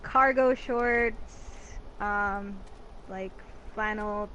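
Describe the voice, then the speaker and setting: A woman's voice: mid-range voice, steady tone, extremely slow, dull audio, background noise. Chatty young woman from Mexico. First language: Spanish. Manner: chatty, filler-heavy with "like". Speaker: guest. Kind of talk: casual interview chat.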